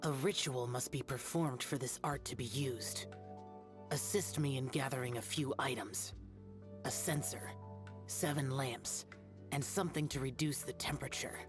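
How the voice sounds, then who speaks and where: A man's voice: mid-range voice, fairly even intonation, normal speed, lightly acted. A man voicing a stern, aloof young guardian spirit in a video game, speaking formally and curtly. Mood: cold. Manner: formal, curt, solemn. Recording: game audio under background music.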